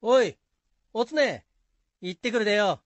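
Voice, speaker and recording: An actor playing a rough, plain-spoken villager. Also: male voice, high voice, lively intonation, moderate pace, dry recording, lo-fi sound. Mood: hurried. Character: rustic village man in a folk tale.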